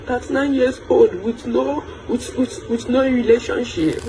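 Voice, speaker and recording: A woman's voice: fairly high pitch, steady tone, measured pace, background noise. Pleading woman in her thirties. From Nigeria. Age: 30s. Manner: repetitive, pleading. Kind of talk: pleading social media video.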